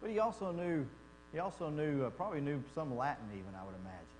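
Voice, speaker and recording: A man's voice: fairly high pitch, lively intonation, quick pace, a little mellow. A man from Texas with a Texan accent, teaching a church Bible class in a folksy, conversational way and asking the class questions. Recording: echoey hall with mains hum.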